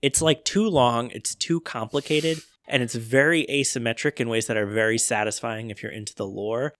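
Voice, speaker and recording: A man's voice: medium pitch, fairly even intonation, normal speed, a bit bright, slight background noise. A man hosting a casual podcast in a conversational way. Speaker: podcast host.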